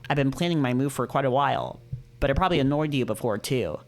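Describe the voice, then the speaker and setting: A man's voice: mid-range voice, animated, fast talker, natural voice. A man voicing a friendly, proper young male newcomer in a visual novel, polite and slightly awkward.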